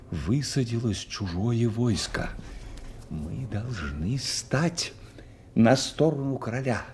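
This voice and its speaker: An older actor playing a loyal, secretive old nobleman, confiding gravely in a theatrical radio drama. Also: mid-range voice, darkish tone, slight background noise. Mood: resolved, secretive.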